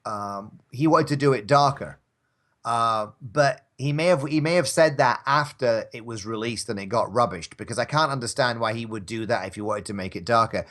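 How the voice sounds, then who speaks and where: A man's voice: mid-range voice, normal speed, a bit bright. A man co-hosting a casual talk show, bantering about films in a rambling, wry, conversational way. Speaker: co-host of an audio drama talk show.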